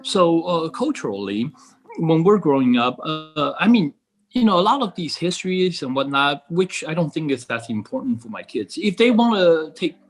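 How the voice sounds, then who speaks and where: A middle-aged man from Taiwan speaking as a podcast guest in casual conversation, earnest and halting, rephrasing as he goes. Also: fairly high pitch, sing-song.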